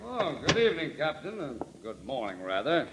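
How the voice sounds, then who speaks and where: An actor playing a suave, smug crime boss with a pompous, bookish politeness in a 1940s radio drama. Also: male voice, mid-range voice, big pitch swings, normal speed, a bit bright, a little hiss or hum. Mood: mock-polite. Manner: bookish, pompous, genteel wording. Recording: muffled old radio recording.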